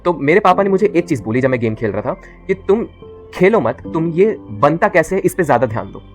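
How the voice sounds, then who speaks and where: Indian teen guy vlogging, talks super fast, lots of uh's, slips into hindi, faint music behind. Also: on the high side, very animated. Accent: Indian English. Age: teens. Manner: rambling, switches between English and Hindi mid-sentence, many uh fillers. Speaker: YouTuber. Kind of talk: casual talk to camera.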